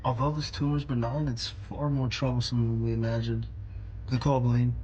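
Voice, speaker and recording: A man playing a measured, approving surgeon in an audio drama. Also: mid-range voice, flat delivery, warm tone, lo-fi sound, slight background noise, close to an everyday voice.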